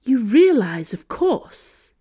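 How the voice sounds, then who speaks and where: An imperious older female cardinal, as a woman narrator puts her on for an audiobook. She speaks slowly and haughtily, with wide swoops of pitch and silky, sarcastic menace. The recording is slightly dull. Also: sing-song, breathy edge, dry recording, exaggerated voice. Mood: cold menace. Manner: slow, haughty, sarcastic delivery. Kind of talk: audiobook character voice.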